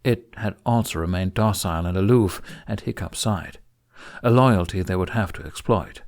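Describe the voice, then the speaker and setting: A man's voice: low-pitched, moderate pace, a little mellow. A man reading scripted fantasy fiction as a dramatic audiobook narrator.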